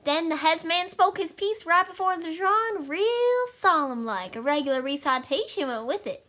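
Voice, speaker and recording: A woman's voice: very high-pitched, animated, normal speed, pushed and bright, exaggerated voice. A woman playing a grumbling elderly townsperson who reminisces in a folksy way, in an amateur play acted over video call. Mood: nostalgic. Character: grumbling elderly town old-timer, nostalgic for strict ritual. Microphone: video call microphone.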